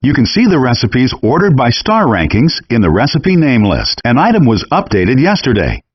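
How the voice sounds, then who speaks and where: A man voicing a friendly, encouraging virtual chef in a cooking game, giving clearly worded scripted instructions through dull, compressed game audio. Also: mid-range voice, huge pitch swings, normal speed, dry recording. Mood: patient, instructive.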